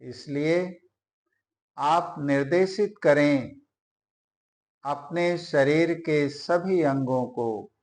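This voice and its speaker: Very slow-speaking man guiding meditation. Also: mid-range voice. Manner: slow, deliberate, with long pauses. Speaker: yoga teacher. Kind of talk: guided yoga nidra meditation instruction.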